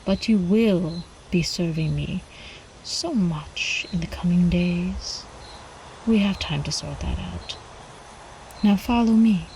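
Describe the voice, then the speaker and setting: Woman acting a seductive vampire countess, unhurried, mellow and soft, teasing, possessive, elegant, ASMR roleplay, faint night noise. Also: mid-range voice, rough recording. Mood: commanding, coaxing, then gloating, ominous. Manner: slow, sultry, teasing, slipping into whispers.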